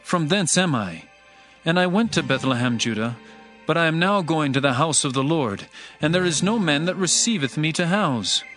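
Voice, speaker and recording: Man acting a higher-voiced, formal travelling master. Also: animated, moderate pace, a bit bright, faint music behind. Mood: courteous explaining. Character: firm, courteous travelling master seeking lodging. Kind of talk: dramatized scripture reading in archaic English.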